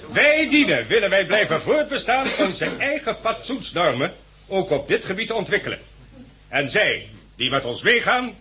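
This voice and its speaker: A stern leader of survivors in a sixties radio drama. His man's voice is fairly high and forceful, swinging widely in pitch as he lays down rules in formal, emphatic oratory. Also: heavily acted. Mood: firm, rebutting. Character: stern male leader addressing survivors of a catastrophe.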